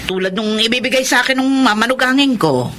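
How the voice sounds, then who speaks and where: A woman's voice: a little high, fast talker, crowd noise, lightly acted. An actress playing a mercenary, gossipy middle-aged woman in a radio drama. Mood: scheming.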